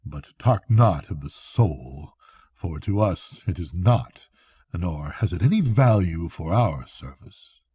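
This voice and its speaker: A man's voice: low-pitched, animated, slow, mellow, slightly rough, dry recording, clean studio sound, heavily acted. A grandfather playing mocking merchants in a bedtime story, with a scornful, declaiming manner. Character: mocking, scornful merchants haggling over a body for slavery.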